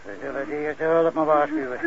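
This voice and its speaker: A man's voice: a little high, sing-song, fairly quick, creaky, crowd noise, heavily acted. An actor playing a mocking, sarcastic policeman in a radio drama. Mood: dry, sarcastic. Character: sardonic, taunting policeman in charge. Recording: dull old radio recording.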